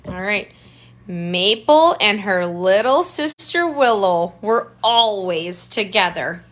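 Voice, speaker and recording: A woman's voice: medium pitch, expressive, takes it slowly, fairly bright tone. A woman tutor reading a picture book aloud to children, adding simple explanatory asides and pointing things out. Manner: simple child-directed phrasing, pointing things out with questions and remarks.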